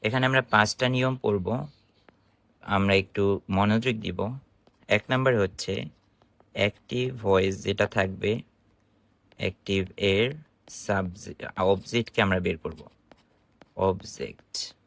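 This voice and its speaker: Male online tutor, Bangladeshi accent, lowish pitch, dry close sound, explains by posing questions. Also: measured pace, gravelly, long pauses, fairly bright tone, no room sound. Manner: explanatory, instructive, asking and answering own questions. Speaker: English grammar teacher. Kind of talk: informal online grammar lesson.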